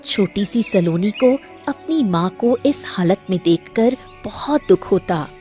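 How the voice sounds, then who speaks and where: A woman's voice: medium pitch, expressive, unhurried, mellow, soft background music. A woman reading scripted narration as the storyteller of a moral tale.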